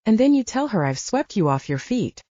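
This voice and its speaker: A confident, wealthy romantic hero voiced by a female narrator, persuasive and earnest with a teasing edge. The delivery is lively and mellow, heard on a dry, slightly dull recording. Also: mid-range voice, normal speed, no echo, voice pushed up. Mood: playful, persuasive. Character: rich, confident man, romantic and teasing, conflicted. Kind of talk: audiobook dialogue acting.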